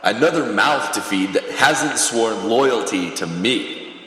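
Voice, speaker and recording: A man acts a grandiose, cruel cult idol in an audio drama. The lines come slowly and pompously with lively swings in pitch, ringing in a very echoey, reverberant space. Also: exaggerated voice. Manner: pompous, commanding. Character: vain, domineering male cult-star singer, grandiose and cruel. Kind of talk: theatrical audio drama dialogue. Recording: echoey, reverberant hall sound.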